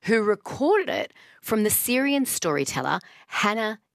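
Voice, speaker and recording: A woman's voice: mid-range voice, big pitch swings, a little slow, gravelly, no echo, studio quality. A woman hosting a radio show, chatting casually and reading out listener texts. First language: English. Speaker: radio host.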